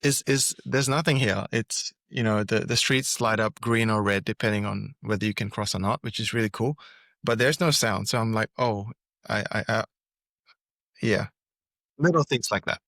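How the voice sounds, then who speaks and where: A man's voice: lowish voice, moderate pace, vocal fry, fairly bright tone, dry recording, clean studio sound. A man from Singapore, a musician, answering interview questions in an easygoing, hesitant way, often restarting his phrases. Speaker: musician guest. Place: Seoul, recording remotely.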